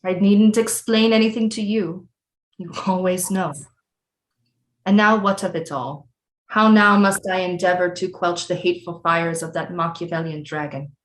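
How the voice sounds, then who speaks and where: A woman's voice: fairly low pitch, fairly even intonation, moderate pace, natural voice. Imperious queen played by a woman, roomy echo. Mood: haughty, bitter. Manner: haughty, formal, archaic diction. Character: imperious, scheming, lovelorn French Renaissance queen. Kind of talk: theatrical audio drama acting. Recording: roomy echo with music behind.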